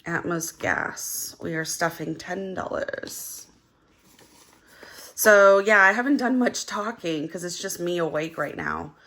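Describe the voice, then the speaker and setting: A young woman chatting casually as she counts cash for her budget, her voice a little gravelly and hoarse from just waking up, rambling with lots of fillers. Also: fairly low pitch, very animated, slow, bright, forceful tone. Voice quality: gravelly early-morning voice. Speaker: budgeting vlogger.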